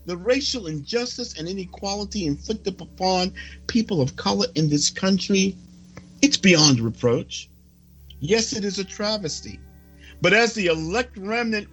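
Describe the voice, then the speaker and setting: A man reading prophecy as a sermon, solemn and declamatory, with dramatic pauses. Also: high voice, a bit bright, breathy edge, poor audio. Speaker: preacher reading a prophecy report.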